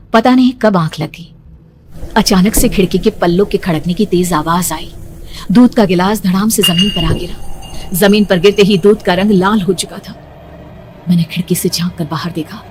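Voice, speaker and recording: A young woman narrating a horror cartoon story in the first person, hushed and suspenseful. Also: lowish voice, normal speed, a little hiss or hum. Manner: hushed, suspenseful, rising to frightened.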